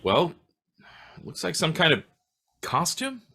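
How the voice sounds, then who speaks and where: A man playing a matter-of-fact homicide inspector with halting speech in a radio drama. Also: fairly high pitch, huge pitch swings, creaky, pushed and bright, breathy edge, full character voice. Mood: businesslike. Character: businesslike male homicide police inspector. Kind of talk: old-time radio drama acting.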